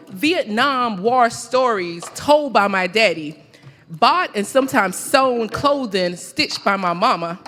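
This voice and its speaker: A woman speaks from a stage in a large hall, her words trailing long reverb. Her delivery is deliberate and emphatic, built like spoken-word poetry. She repeats phrases rhythmically, with a mid-range pitch. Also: a bit bright. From American South (the Carolinas). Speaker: keynote speaker at a conference. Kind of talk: rhythmic spoken-word poetic public speech.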